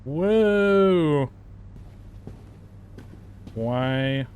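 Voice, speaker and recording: A man playing and reviewing a game, thinking aloud in casual, laid-back, slightly halting commentary. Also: fairly high pitch, animated, a little mellow, slight background noise.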